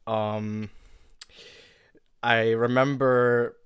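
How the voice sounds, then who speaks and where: Male interview host, smooth clean voice, informal and repetitive phrasing, close dry sound. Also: mid-range voice, flat delivery, very slow, dry recording. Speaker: host interviewing a collaborator, writer of an audio drama. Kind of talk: casual creator commentary interview.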